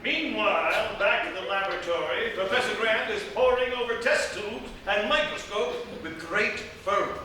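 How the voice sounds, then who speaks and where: A man's voice: high-pitched, normal speed, hardly any pauses, music in the background, natural voice. A man playing the melodramatic narrator of a monster spoof in a live comic radio play. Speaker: narrator and actor. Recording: echoey theatre, distant mic, faint noise.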